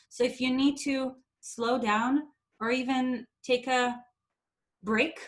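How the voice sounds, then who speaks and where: A woman from Israel whose first language is Hebrew, coaching public speaking in a clear, deliberate way and sometimes exaggerating words to demonstrate. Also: on the high side. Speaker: presentation skills coach. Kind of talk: instructional talk.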